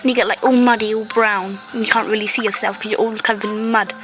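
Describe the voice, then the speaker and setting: A woman hosting a casual game commentary, rambling with lots of fillers and a croaky voice from a cough. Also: mid-range voice, fairly even intonation, fast talker, a bit bright, soft background music. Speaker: gaming channel host.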